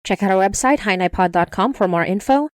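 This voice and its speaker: A woman's voice: medium pitch, expressive, a little fast, no echo, studio quality. A woman who hosts a podcast, reading scripted credits and announcements in an orderly way, addressing listeners directly. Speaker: podcast creator and showrunner.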